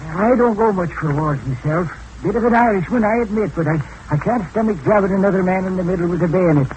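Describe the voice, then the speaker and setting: Man playing kindly old hermit, high voice, folksy, cagey, radio serial, faint background noise. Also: fast, a little mellow, lightly acted. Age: older. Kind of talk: old-time radio adventure acting. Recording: noisy old radio recording.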